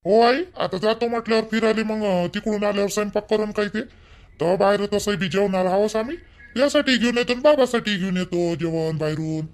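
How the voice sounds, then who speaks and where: A man's voice: high voice, a little fast. A man with a Vidarbha Marathi accent playing a young newly married husband in a village cartoon, blunt, bossy and impatient. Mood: matter-of-fact.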